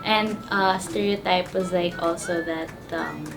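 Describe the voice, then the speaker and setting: Young woman from Texas, lower register, chatty and upbeat; music bed audible. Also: fairly even intonation, a little slow, gravelly. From Texas, United States. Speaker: university student interviewee. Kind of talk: casual interview answers.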